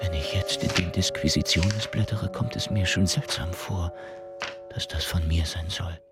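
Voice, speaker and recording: An actor playing a brilliant, awkward mathematician in a German radio play, halting, muttering and blunt. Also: male voice, deep voice, steady tone, lightly acted. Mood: preoccupied. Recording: music behind the voice.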